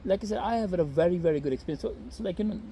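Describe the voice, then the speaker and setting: A man's voice: sits high, animated, racing, warm tone, slight background noise. A young man from Pakistan, raised in Saudi Arabia, telling his story in an earnest, rambling way with many fillers and restarts, outdoors on a city street. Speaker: interview guest. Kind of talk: casual outdoor interview.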